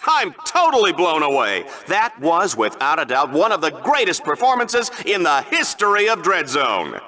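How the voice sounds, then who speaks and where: A flamboyant video game bloodsport host with a piercing, very bright voice. He pitches high and swings wildly up and down. Every line comes out as bombastic, showy sports hype with a smarmy streak. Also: huge pitch swings, moderate pace, full character voice. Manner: bombastic, showy announcer delivery. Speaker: game show commentator. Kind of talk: over-the-top sports commentary.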